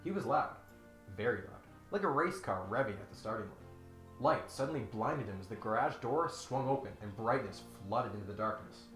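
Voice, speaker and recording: A man's voice: medium pitch, lively intonation, brisk, fairly bright tone, reverberant, faint music behind. A man hosting with comic commentary and dramatic reading, in a mock-serious, sarcastic manner. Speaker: host.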